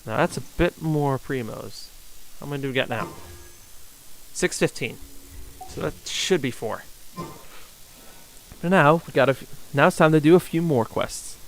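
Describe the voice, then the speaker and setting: Casual, halting male game streamer. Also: fairly high pitch, rough recording. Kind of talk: casual live gameplay commentary, reading on-screen text aloud. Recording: faint game music behind.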